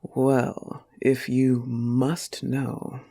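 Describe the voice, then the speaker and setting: Slow, soft-spoken goth wolf girlfriend, acted by a man. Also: medium pitch, completely flat delivery, heavily acted. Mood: tender, teasing. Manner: slow, soft-spoken, often dropping to breathy whispers. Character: possessive, tender, teasing goth wolf-girl girlfriend. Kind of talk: intimate audio roleplay.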